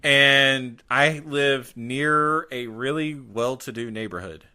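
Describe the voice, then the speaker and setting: A man's voice: medium pitch, takes it slowly, pushed and bright, smooth. A man co-hosting a podcast in casual chat, joking and grumbling in long rambling rants. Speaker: podcast co-host.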